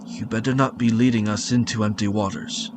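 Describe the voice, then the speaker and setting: An actor playing a stern, distrustful, principled ship captain in an audio drama, blunt and formal. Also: male voice, lowish voice, steady tone, a little fast, background noise, lightly acted.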